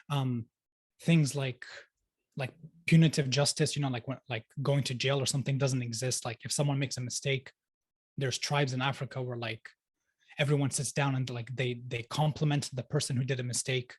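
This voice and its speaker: A man's voice: medium pitch, fairly even intonation, normal speed, husky, no room sound. Chatty young man, dry close sound. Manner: chatty, fills talk with "like", laughs easily. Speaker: podcast guest, sociologist and life coach. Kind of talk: casual interview chat.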